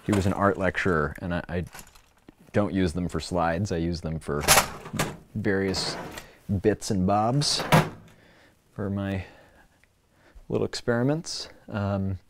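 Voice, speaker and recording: A man with a fairly low voice hosts a casual livestream from an indoor workspace. He rambles playfully as he shows things off. Some background noise and clicking sit behind his voice. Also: takes it slowly, pauses often. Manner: playful, rambling show-and-tell. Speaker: livestream host. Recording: clearly noisy room recording with clicks.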